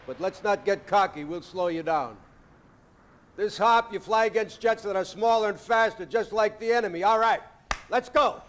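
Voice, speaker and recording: An actor playing a stern, gruff Navy commander who briefs pilots in a clipped, authoritative way in a video game. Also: male voice, high voice, moderate pace, a bit bright, a little hiss or hum. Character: stern, gruff male Navy commander and flight school instructor. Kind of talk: acted video game cutscene dialogue.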